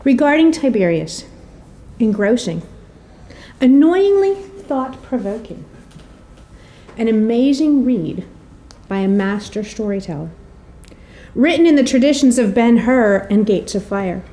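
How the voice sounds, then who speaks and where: A woman's voice: on the high side, animated, a little slow, mellow, a little hiss or hum, low-quality recording. A middle-aged woman presenting a prepared introduction, telling stories in an articulate, wry and slightly theatrical way. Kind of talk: prepared introductory speech with storytelling.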